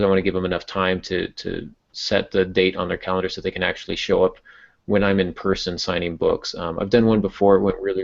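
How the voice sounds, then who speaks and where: A man's voice: deep voice, vocal fry. A man hosting a casual podcast, chatty and explanatory, with a self-deprecating sense of humor. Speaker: podcast host and novelist. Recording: dull lo-fi audio.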